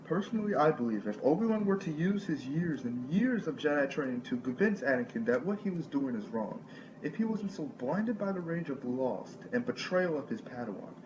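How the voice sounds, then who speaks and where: A man narrating his own fan story in an earnest, slightly halting casual way. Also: fairly high pitch, sing-song, lo-fi sound, background noise. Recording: echoey room with music behind.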